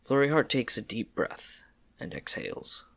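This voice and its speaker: A man's voice: medium pitch, normal speed, fairly bright tone, no echo, studio quality. A man narrating a scripted story in a dramatic storybook style.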